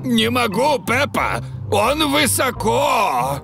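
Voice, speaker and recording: A man's voice: very high-pitched, sing-song, takes it slowly, gravelly, pushed and bright, soft background music, heavily acted. A man voicing a jolly, blustery old grandfather pig with a hearty manner in a cartoon dub. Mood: flustered.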